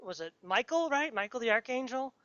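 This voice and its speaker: A woman's voice: on the high side, animated, a little fast, a bit bright, no echo, dull audio. A woman chatting casually as a podcast interviewer, talking in a rambling, enthusiastic way like a fan.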